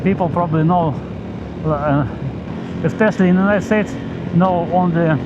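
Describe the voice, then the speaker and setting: A man with a Belarusian accent, English not his first language, chatting haltingly with many fillers while riding a motorcycle through town in the rain. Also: sits high. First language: Belarusian and Russian. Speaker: motorcycle vlogger. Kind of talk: casual chat while riding. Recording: muffled lo-fi with loud road noise. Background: loud traffic and wind noise.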